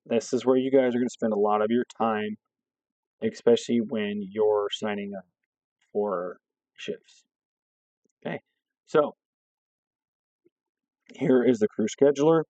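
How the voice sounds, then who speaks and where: Hoarse guy doing a screen walkthrough for his crew, low mellow voice, dry flat dull mic sound. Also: measured pace, pauses often, dry recording. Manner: steady step-by-step explaining, frequent "okay" check-ins. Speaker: fire district staff trainer. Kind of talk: screen-recorded software walkthrough.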